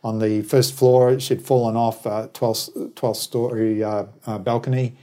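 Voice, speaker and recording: A man's voice: mid-range voice, flat delivery, unhurried, mellow. A man from New Zealand who later lived in Australia, talking as an interview guest in a discursive, opinionated way with wry anecdotes. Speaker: interview guest, travel business operator. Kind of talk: conversational interview commentary.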